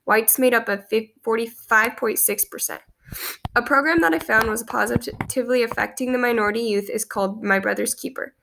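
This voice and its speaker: A woman's voice: a little high, a little fast, vocal fry, background noise. A young woman in her twenties with a stuffy cold, earnestly reading a class presentation to a webcam in a small room. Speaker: student presenting a class post. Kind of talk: read-aloud presentation. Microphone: webcam at close range.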